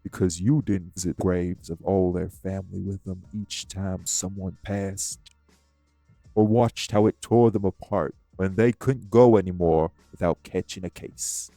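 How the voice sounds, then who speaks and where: A man playing a bitter, guilt-worn vampire sire in an audio drama, with a gravelly voice and a deliberate, emphatic, accusing delivery. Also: sits low, steady tone, dark tone, dry recording, faint music behind. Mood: furious. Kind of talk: dramatic audio roleplay acting.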